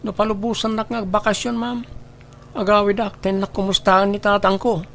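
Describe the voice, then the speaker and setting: A woman's voice: medium pitch, flat delivery, moderate pace, creaky, slight background noise, lightly acted. A woman playing a timid, hard-working youngster in a radio drama, speaking in a deferential, pleading manner. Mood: respectful, shy. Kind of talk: Ilocano radio drama acting.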